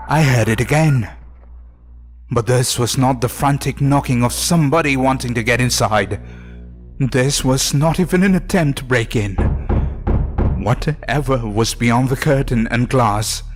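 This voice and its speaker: Slow-paced man narrating horror. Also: mid-range voice. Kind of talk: dramatic scripted first-person narration. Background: faint music.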